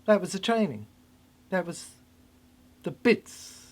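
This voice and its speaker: An older person delivering a rambling, cryptic monologue in halting fragments, repeating phrases for emphasis. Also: mid-range voice, big pitch swings.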